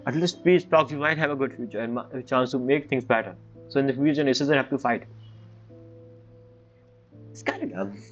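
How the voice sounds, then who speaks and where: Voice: mid-range voice, a little fast, pauses often, dull audio. A game streamer giving casual, blunt, scoffing commentary in informal, loosely grammatical speech. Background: game music.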